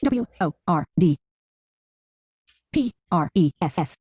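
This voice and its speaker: A synthetic male screen reader voice announcing interface text in a flat, robotic way. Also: sits high, slow, slightly rough, no room sound, studio quality.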